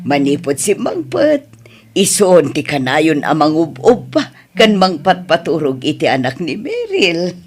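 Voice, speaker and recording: A caring grandmother acted by a woman with a lowish, very animated voice. She soothes and fusses over her family in an Ilocano-accented audio drama. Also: unhurried, full character voice. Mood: affectionate. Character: caring older mother and grandmother, soothing and fussing.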